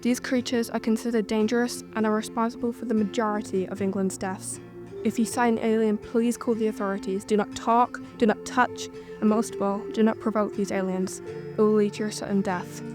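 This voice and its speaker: A young woman playing a stern newsreader, giving formal, measured warnings in a radio bulletin. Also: mid-range voice, level tone, music in the background, close to an everyday voice. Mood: stern, ominous. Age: young adult. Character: stern female newsreader warning of alien danger. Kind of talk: radio news bulletin acting.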